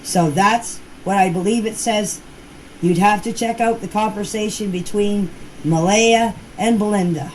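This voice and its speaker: A woman's voice: mid-range voice, measured pace, darkish tone. Plain-spoken woman on noisy voice message. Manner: emphatic, plain-spoken, repeats herself for emphasis; colloquial, non-standard grammar. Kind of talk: informal voice messages answering questions in a group chat. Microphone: voice clips recorded for a group chat. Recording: noisy informal voice-message recording.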